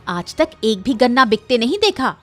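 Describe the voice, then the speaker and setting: Woman playing a blunt, high-voiced village wife. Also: big pitch swings, moderate pace, soft background music. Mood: scornful, nagging. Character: nagging, practical village daughter-in-law and wife. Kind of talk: cartoon story voice acting.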